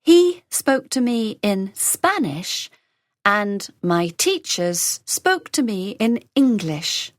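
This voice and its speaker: A woman reading a scripted conversation for English learners, saying each line slowly and carefully, then repeating it. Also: medium pitch, big pitch swings, no echo, clean studio sound. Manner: slow, carefully enunciated, lines read three times over. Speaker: dialogue reader for English learners.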